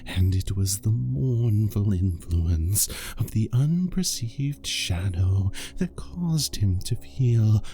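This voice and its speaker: A man playing an unhinged, obsessive murderer who narrates a gothic horror tale with menacing, deliberate, drawn-out phrasing. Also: lowish voice, dark tone, a little hiss or hum.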